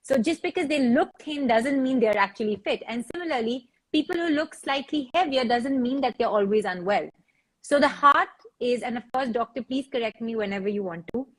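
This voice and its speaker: A woman's voice: a little high, animated, a little fast, rough recording. A woman, explaining as a fitness trainer on a discussion panel, repeating words as she thinks aloud. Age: 40s.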